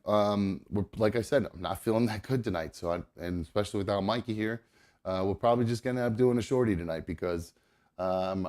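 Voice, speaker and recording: A young man hosts a live show with a voice on the low side, stuffed up and gravelly from a cold. He rambles casually, leaning on fillers and restarting his sentences. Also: darkish tone. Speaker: podcast host.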